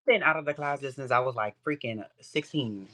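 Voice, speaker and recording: A man's voice: mid-range voice, brisk, bright, forceful tone. A man in his twenties from New Orleans, a guest on a dating show, talking in a laid-back, slangy way that often trails off. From New Orleans, USA. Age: 20s. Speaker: guest contestant. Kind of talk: casual chat.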